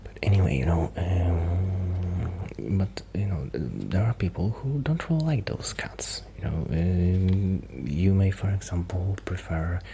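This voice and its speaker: A man speaking softly in a breathy, near-whispered voice, rambling shyly and hesitantly in an ASMR roleplay. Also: low-pitched, expressive, slow. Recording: noticeable background noise.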